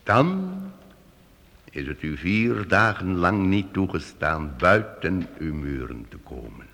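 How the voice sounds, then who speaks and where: A deep, solemn travelling minstrel master. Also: male voice, sing-song, creaky, fairly bright tone, exaggerated voice. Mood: solemn warning. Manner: solemn, deliberate, courteous. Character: wily, deferential travelling minstrel master, adult man. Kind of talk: old radio play acting for youth audience. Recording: radio play with long hall reverb.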